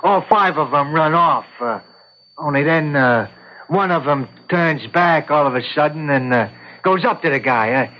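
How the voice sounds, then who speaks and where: A man's voice: a little high, expressive, unhurried. A man acting a talkative, stubborn eyewitness in his sixties, hesitant and folksy, in an old-time radio courtroom drama. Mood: rambling, unsure. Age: 60s. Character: talkative sixty-seven-year-old male eyewitness, stubborn and plainspoken. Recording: dull lo-fi vintage radio recording.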